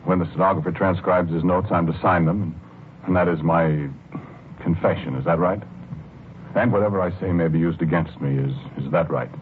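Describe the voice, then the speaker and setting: Man acting a low-voiced, tormented American confessor, vintage radio. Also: fairly even intonation, slight background noise, close to an everyday voice. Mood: weary, resigned. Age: 40s. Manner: halting, weary, polite but insistent. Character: tormented 41-year-old college man insisting on his innocence. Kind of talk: 1940s radio drama acting. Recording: old muffled radio recording with organ music.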